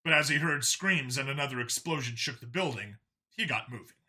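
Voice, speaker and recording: A man's voice: medium pitch, expressive, brisk, sharp tone. A man narrating a science fiction audiobook in a dramatic, scripted storytelling style.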